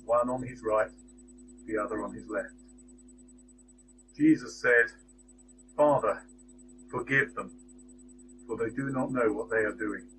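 A middle-aged man reading a Bible passage and giving a church reflection, in a measured, deliberate way, lifting his voice on the quoted words, recorded at close range on a webcam in a small room. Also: mid-range voice. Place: small indoor room with shelves. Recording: steady electrical hum behind the voice.

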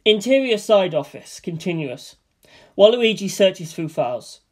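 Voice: medium pitch, animated, measured pace. A narrator reading screenplay scene headings and action lines as scripted narration.

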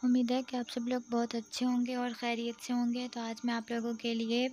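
A woman hosting her cooking channel, narrating a home recipe step by step to viewers in a steady, explanatory way. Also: fairly high pitch, brisk. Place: home kitchen.

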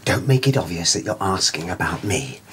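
A man's voice: low voice, lively intonation, a little fast, fairly bright tone, slight background noise. An actor playing a wheedling, evasive middle-aged male scriptwriter in a comedy soap. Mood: scheming. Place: office, indoors.

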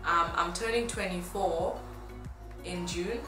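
A woman in her twenties from Tanzania talks casually and brightly in mood, as a blogger addressing followers. Her voice sits a little low for a woman. The room adds a clear echo, and music plays behind her. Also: takes it slowly. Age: 20s. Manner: upbeat, informal. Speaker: fashion blogger. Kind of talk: casual chat to camera.

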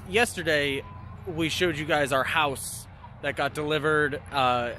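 A man's voice: a little high, slow pace, pushed and bright, slight background noise. A man in his thirties, a homestead vlogger, chatting easily to a camera held at arm's length, first outdoors on rural land and then inside a camper. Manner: chatty, easygoing, talks straight to camera. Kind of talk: casual vlog narration.

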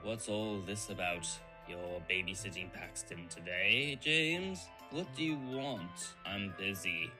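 A man's voice: on the low side, slow, hardly any pauses, over music. A man voicing a grouchy, boastful diesel shunter engine in a cartoon dub, with a sneering drawl. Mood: gruff, sneering. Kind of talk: cartoon fan dub acting.

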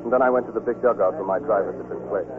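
Actor as brisk lieutenant, old radio drama. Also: male voice, on the low side, close to an everyday voice. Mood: sober recounting. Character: earnest young lieutenant in love, recounting war. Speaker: lead actor and narrator. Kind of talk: 1940s radio acting and narration. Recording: muffled 1940s radio broadcast with music.

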